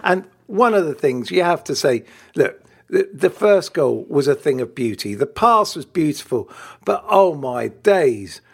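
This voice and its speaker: Guy on a footy podcast, fairly high voice, keeps laughing, repeats himself a lot while thinking. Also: big pitch swings, unhurried, creaky, husky. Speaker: football podcast co-host. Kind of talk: casual chat.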